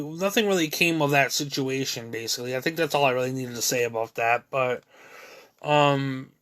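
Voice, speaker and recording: Bright-voiced man in his thirties, halting. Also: medium pitch. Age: 30s. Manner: halting, many restarts and fillers; candid, self-critical. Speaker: video creator. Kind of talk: rambling personal monologue.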